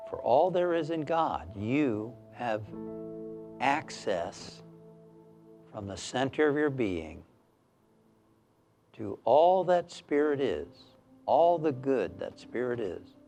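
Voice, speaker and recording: Slow, calm man guiding meditation. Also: medium pitch, music in the background. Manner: calm, soothing, measured with long pauses. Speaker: minister leading a guided meditation. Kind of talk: guided meditation.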